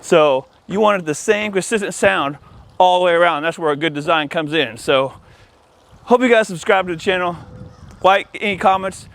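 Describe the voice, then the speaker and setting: A man's voice: normal speed, fairly bright tone. A man hosting a casual hands-on walkthrough outdoors, explaining things informally as he goes. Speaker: host of an installer's video channel. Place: outdoors in a yard. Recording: outdoor noise, ambient rumble.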